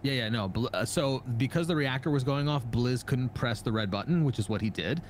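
Male gamer, quick casual explainer, average pitch, faint background noise. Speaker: game player. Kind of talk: casual explanatory game chat.

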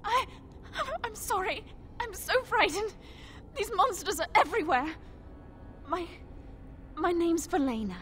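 An actress playing a frightened, timid young castle maid in a fantasy video game, pleading hesitantly in a trembling voice. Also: female voice, extremely high pitch, expressive, measured pace, long pauses, fairly bright tone, a little hiss or hum, heavily acted. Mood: terrified. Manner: tremulous, hesitant, breathless pleading.

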